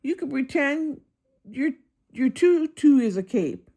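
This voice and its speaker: An eager little storybook monster , played by a woman reading aloud. The voice is high and childlike, swinging widely in pitch, full of affection and excitement. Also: slow, full character voice. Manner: childlike, eager, speaks of himself by name. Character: small, excitable, affectionate young monster boy. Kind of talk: children's picture book read-aloud.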